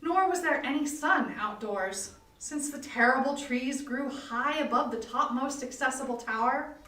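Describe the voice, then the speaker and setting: A woman with a slightly high voice reads gothic horror prose aloud. She is deliberate and melodramatic in her emphasis, and she sounds a little far from the mic in an echoey room. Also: sharp tone. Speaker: volunteer or library staff reader.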